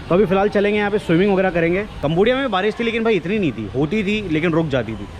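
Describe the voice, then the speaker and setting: A man's voice: high-pitched, animated, rapid-fire. A man from India with an Indian accent, a travel vlogger chatting casually to camera and mixing English words into his talk. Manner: colloquial, mixes English words into Hindi. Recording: noisy outdoor vlog audio with traffic.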